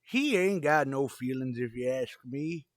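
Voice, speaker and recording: A man's voice: medium pitch, lively intonation, measured pace, no room sound, studio quality, close to an everyday voice. A man playing a smitten, stubborn old custodian with folksy, rustic turns of phrase in a radio comedy. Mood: stubborn, lovestruck.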